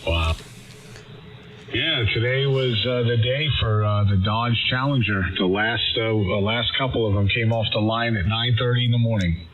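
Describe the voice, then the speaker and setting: Low-voiced hesitant man on ham radio. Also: narrow, tinny audio. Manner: hesitant, halting. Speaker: amateur radio operator. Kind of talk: casual chat over ham radio.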